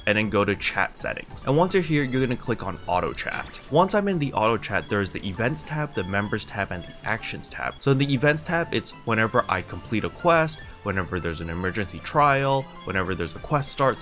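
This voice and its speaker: A man's voice: medium pitch, sing-song, a little fast, hardly any pauses, a bit bright, faint music behind. A young adult man hosting a video game tutorial in a casual, conversational, step-by-step explaining style, recorded indoors at home. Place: small room at home, indoors.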